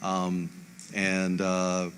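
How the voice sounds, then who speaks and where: Man, slightly low voice, unscripted acceptance speech, grateful, hesitant, faint ambient noise. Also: monotone, drawn-out words, fairly bright tone. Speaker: award winner.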